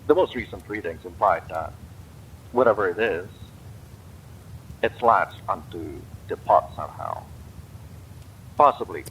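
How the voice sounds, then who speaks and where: A man doing a grave, calm pod administrator. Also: low-pitched, a little slow, long pauses, a little hiss or hum, exaggerated voice, low-quality recording.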